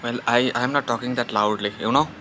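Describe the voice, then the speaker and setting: A man's voice: mid-range voice, fairly even intonation, brisk, sharp tone, a little hiss or hum. Chatty Bengali man vlogging outdoors. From India. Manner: rambling, repeats himself, chatty asides to viewers. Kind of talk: casual vlog while eating outdoors. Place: public place outdoors.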